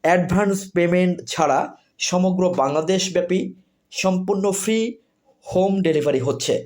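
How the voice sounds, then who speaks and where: A man with a Bangladeshi accent giving an informal product sales pitch, repetitive and insistent, running through points in lists. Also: moderate pace, a little mellow. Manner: repetitive, insistent, with list-like phrasing. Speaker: product seller.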